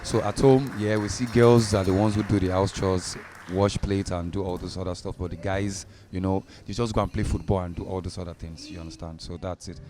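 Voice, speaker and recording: A man with a Nigerian accent giving a casual, reflective and halting interview answer. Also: low-pitched, fairly even intonation, noisy background. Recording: loud music behind.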